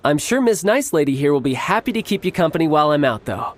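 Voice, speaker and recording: An actor playing a cocky, playful young warrior in a video game, smooth and teasing with theatrically formal speech. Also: male voice, on the high side, sing-song, quick pace. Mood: playful, easygoing. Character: cocky, playful young male warrior posing as toy salesman.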